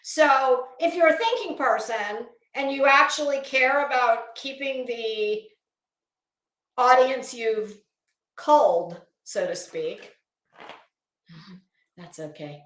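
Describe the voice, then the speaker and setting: Slow, scolding woman in an echoey room. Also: high voice, animated, fairly bright tone. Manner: blunt, scolding, emphatic, sweary. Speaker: online commentary video host. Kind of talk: opinionated commentary rant, casual chat.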